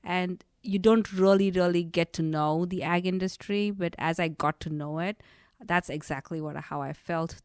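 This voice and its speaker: A woman hosting a podcast, with a fairly low voice, attentive and affirming. Her dry recording has no room sound around it. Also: a little mellow, no echo. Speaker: podcast host. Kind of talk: interview podcast host.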